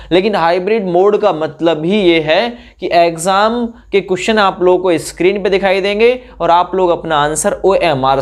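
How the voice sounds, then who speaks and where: A man presenting an exam update, explaining step by step with frequent check-in tags. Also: sits high.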